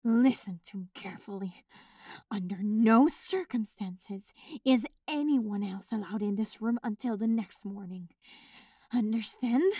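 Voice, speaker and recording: A woman playing a desperate, commanding wife in labour, grave and halting, in a gothic horror radio play. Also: mid-range voice, mellow, no echo, clean studio sound, far from the mic, natural voice. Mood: grave, urgent.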